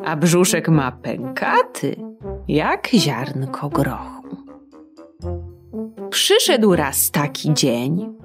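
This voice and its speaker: Lively woman reading a children's story. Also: deep voice. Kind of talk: expressive children's audiobook narration.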